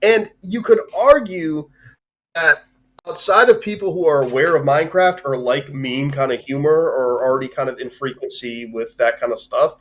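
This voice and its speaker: A man chats casually as a livestream co-host. His delivery is loose and rambling, peppered with swearing, as he gives his opinions in an ordinary mid-pitched voice. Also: normal speed. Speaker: stream co-host reviewing a movie. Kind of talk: casual movie discussion on a livestream.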